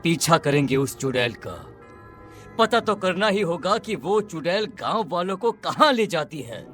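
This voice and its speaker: A man playing a confident, boastful village farmer in an animated moral story. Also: fairly high pitch, measured pace, faint music behind. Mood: resolute.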